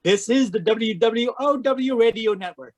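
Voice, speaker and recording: A man's voice: extremely high pitch, moderate pace. Genial old-time radio announcer man. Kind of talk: radio show sign-off announcement.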